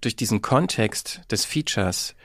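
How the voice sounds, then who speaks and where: Gravelly, fairly low-voiced man. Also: animated, a little slow, a bit bright, no room sound, studio quality. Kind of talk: casual discussion.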